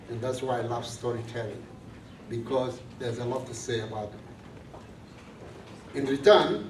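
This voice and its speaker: A priest from South Sudan, giving a measured, earnest talk to a student gathering. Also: male voice, fairly high pitch, slight background noise. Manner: slow, measured, earnest speaking. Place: large room on a college campus. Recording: echoey room from far off.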